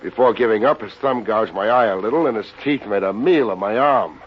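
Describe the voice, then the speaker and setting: An actor playing a wisecracking, unflappable private investigator in an American radio drama, dry and sardonic, with an American accent. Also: male voice, lowish voice, a little fast, lightly acted. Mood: wry narration. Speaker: lead actor narrating and playing a detective. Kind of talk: 1950s American radio detective acting. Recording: dull old radio recording with music.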